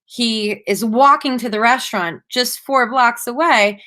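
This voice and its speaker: A woman delivering a prepared marketing presentation as a conference speaker, in a measured, scripted-sounding way with emphatic phrasing. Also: fairly high pitch, bright, forceful tone.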